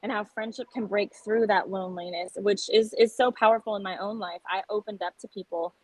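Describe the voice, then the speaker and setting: A young woman from South Texas chats earnestly as an interview guest. She restarts her phrases often and keeps talking quickly. The sound is dry and close, with no room echo. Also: medium pitch, steady tone. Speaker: singer-songwriter guest. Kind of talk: casual interview conversation.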